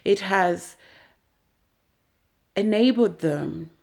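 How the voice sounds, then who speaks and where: Slow, earnest woman from Uganda. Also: medium pitch, animated, creaky, a little mellow. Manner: earnest, halting, many fillers. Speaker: vlogger. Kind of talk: personal video commentary.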